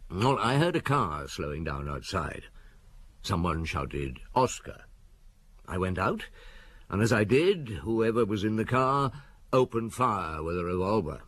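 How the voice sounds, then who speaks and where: An actor in an audio drama playing a shrewd, unhurried police chief with a husky voice and a terse, probing manner. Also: male voice, on the low side, very animated, a bit bright, no echo, exaggerated voice. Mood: wry, recounting.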